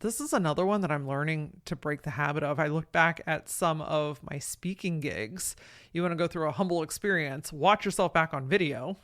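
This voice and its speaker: A woman hosting a podcast, talking frankly and encouragingly in a casual way with a deep voice. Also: moderate pace, a bit bright. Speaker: podcast host. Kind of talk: solo podcast talk, motivational.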